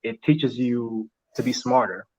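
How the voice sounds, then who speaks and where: A young man whose first language is Italian, answering interview questions in a reflective, halting way with many restarts and fillers. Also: medium pitch, fairly even intonation, a little mellow. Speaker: interview guest. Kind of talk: casual interview chat. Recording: slightly dull lo-fi sound.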